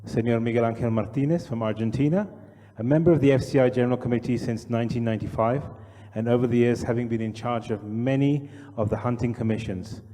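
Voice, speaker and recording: A man reading out a formal speaker introduction in a measured, list-like delivery, his voice mellow and dark. A long hall reverb trails behind every phrase. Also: medium pitch, fairly even intonation. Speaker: conference presenter.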